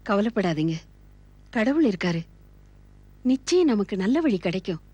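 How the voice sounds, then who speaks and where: A woman's voice: medium pitch, big pitch swings, normal speed, a little mellow, slightly rough, no room sound, lo-fi sound. An actress playing a resolute, reassuring middle-aged mother in a TV family serial. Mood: firm, reassuring. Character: resolute, reassuring mother of a wayward married son. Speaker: actor in a TV serial.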